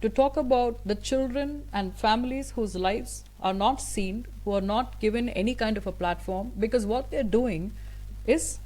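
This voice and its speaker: A woman's voice: on the high side, moderate pace, darkish tone, poor audio. A woman whose first language is Tamil, a guest speaker answering an interview at length in an earnest, thoughtful way, with frequent fillers and restarts.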